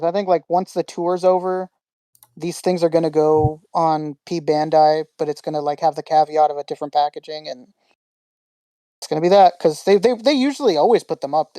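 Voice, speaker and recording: A man's voice: on the high side, warm tone, dry recording. A man co-hosting a podcast, talking casually and restarting his sentences often. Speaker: podcast co-host. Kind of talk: casual chat.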